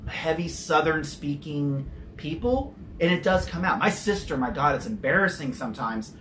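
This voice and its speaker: A man's voice: fairly high pitch, expressive, normal speed, fairly bright tone, slight background noise. A man casually reviewing sodas on his channel, chatty and prone to rambling tangents, with the odd swear word and no regional accent. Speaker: soda reviewer.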